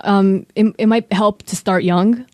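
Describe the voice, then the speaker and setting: A young American woman, a musician, answering interview questions in a hesitant, soft-spoken way. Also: mid-range voice, steady tone, a little slow, dry recording, clean studio sound. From USA. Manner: soft-spoken, hesitant, many ums and likes. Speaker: guest musician.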